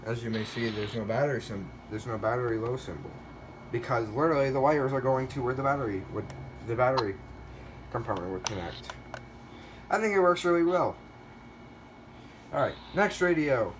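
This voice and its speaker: A man's voice: mid-range voice, low-quality recording. Hesitant man casually narrating over background noise. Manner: hesitant, rambling, self-correcting. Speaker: video creator showing a weather radio collection. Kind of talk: casual show-and-tell narration.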